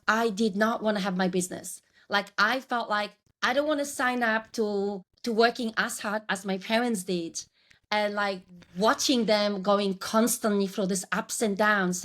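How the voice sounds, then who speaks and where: A woman's voice: mid-range voice, a bit bright. A woman in her forties with a Polish accent, a guest on a podcast interview, telling her career story reflectively, with frequent restarts. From Poland. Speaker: guest, branding coach.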